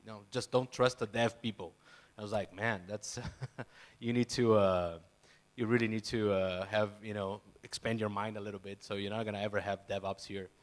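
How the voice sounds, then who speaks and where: A man's voice: on the low side, level tone, normal speed. A man, speaking hesitantly as a panelist on a conference stage. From Brazil. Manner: hesitant, many fillers and restarts. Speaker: panelist, software company CEO. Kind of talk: conference panel self-introduction.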